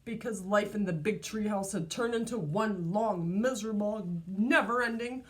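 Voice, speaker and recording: A woman reading a storybook aloud to young children like a teacher, expressive and deliberate, prompting her listeners. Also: mid-range voice.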